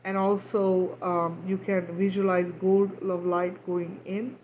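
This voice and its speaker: A woman's voice: fairly low pitch, little pitch movement, slow pace, a little mellow, a little hiss or hum, low-quality recording. A woman who grew up in Kenya, leading a livestream talk and guided healing in a loose, repetitive way, often checking in with "right?". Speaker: spiritual healer on a livestream.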